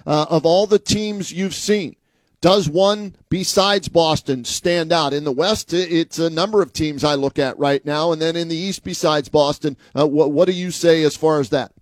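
A man with a slightly high voice hosts a sports radio interview. He asks his questions casually and chummily, in a dry studio-like sound with no echo. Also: moderate pace.

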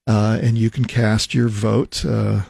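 Halting older American man hosting radio, dry sound. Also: fairly low pitch, level tone, vocal fry, a little mellow, slightly rough, no echo. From Nebraska, United States. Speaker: radio talk show host. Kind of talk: casual radio monologue. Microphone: close studio microphone.

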